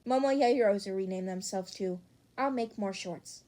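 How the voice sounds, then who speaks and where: A woman's voice: mid-range voice, moderate pace, dark tone. A woman reading fan fiction aloud as an amateur audiobook narrator.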